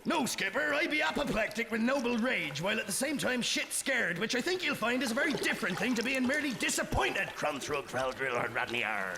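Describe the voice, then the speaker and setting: An actor playing a gruff ship's bosun who bellows in pirate slang in a live radio comedy. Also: male voice, very high voice, very animated, a little fast, few pauses, background noise, full character voice. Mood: blustering. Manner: barking shouted pirate slang.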